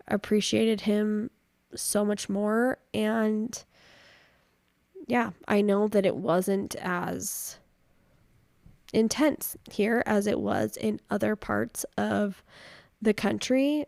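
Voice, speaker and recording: A woman hosting a podcast from her home, chatty and upbeat, her voice a little raspy after a cold. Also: mid-range voice, darkish tone, no room sound, studio quality. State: recovering from a cold. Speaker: podcast host. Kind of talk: casual podcast chat.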